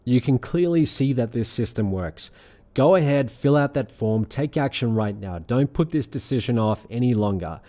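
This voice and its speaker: A man reading a scripted sales pitch as presenter, in an earnest, measured, persuasive manner. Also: animated, mellow. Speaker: presenter of an online money-making sales pitch.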